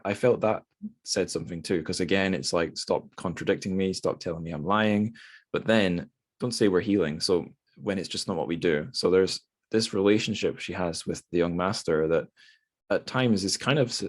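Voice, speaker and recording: A man hosting a podcast, chatting casually about literature in a rambling, self-deprecating way. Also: sits low. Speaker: podcast host.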